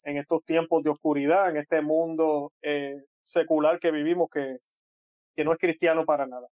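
A man with a Puerto Rican accent, a guest speaking in a halting way with frequent pauses over a remote call. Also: a little high, little pitch movement, darkish tone, no room sound, muffled recording. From Puerto Rico. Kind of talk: casual interview talk.

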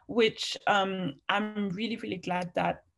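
A woman's voice: medium pitch, fairly even intonation, slow, gravelly, a bit bright. A woman with a slight French accent mixed with British, answering interview questions in a chatty, frank way with many fillers. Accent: French, with some British. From France. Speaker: interview guest, fashion writer.

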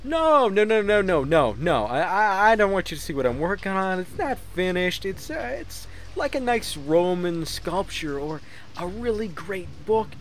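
A childish, conceited author, acted by a man in a comedy audio play. His fairly high voice swings widely as he blusters pompously, with faint noise in the recording. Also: sing-song, normal speed. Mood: defensive, blustering. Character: conceited, defensive hack author, childish and lazy. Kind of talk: comedic audio drama acting. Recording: noisy amateur mix, faint hiss.

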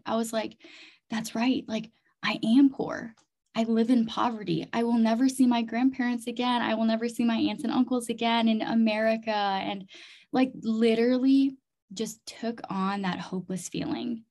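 A woman's voice: on the high side, fairly even intonation, normal speed, dark tone. A woman from Thailand and North Dakota speaking as an interview guest, telling personal stories earnestly and emphatically, with lots of "like" and "literally". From Chiang Mai, Thailand and North Dakota. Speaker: interview guest, community development leader and leadership coach.

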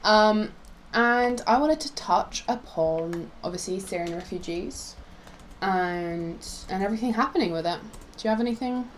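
A woman's voice: mid-range voice, normal speed. A young woman from Poland in a casual, opinionated discussion of current affairs, talking informally and rambling a little. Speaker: co-host. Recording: noticeable background noise.

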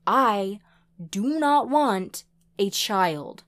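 A woman's voice: fairly high pitch, a little slow, pitched above the performer's own voice, close to an everyday voice. A woman voicing a defiant, resolute daughter in an audio story, firm and keeping emotion in check. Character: defiant, resolute daughter refusing to have children.

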